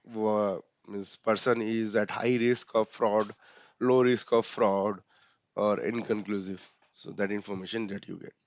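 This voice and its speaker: A man with an Indian accent walks through software settings step by step. He talks casually, with many fillers and a frequent "right?" at the end of his points. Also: on the low side, flat delivery, a little slow, dry recording, muffled recording. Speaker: instructor.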